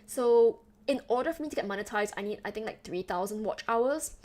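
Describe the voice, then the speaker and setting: A young woman from Singapore with a softened Singaporean accent, chatting casually with her stream chat, rambling with lots of fillers and laughs. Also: fairly high pitch, animated, brisk. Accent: Singaporean, softened toward Western English.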